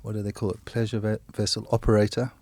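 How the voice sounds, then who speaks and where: A man's voice: fairly low pitch, monotone, quick pace, a little mellow, no room sound. A man in his sixties from Kenya and Zimbabwe, a teacher and handyman, talking in a casual family interview with self-deprecating, chuckling humour.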